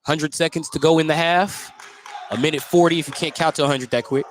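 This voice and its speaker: Higher-voiced American man calling basketball. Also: steady tone, brisk, slight background noise. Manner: clipped, descriptive running call. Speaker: play-by-play announcer. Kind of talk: live radio basketball commentary.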